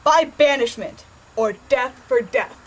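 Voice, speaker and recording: A woman's voice: high voice, unhurried, rough recording. A woman playing a king's brother who formally delivers a god's message in an amateur Greek tragedy. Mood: solemn.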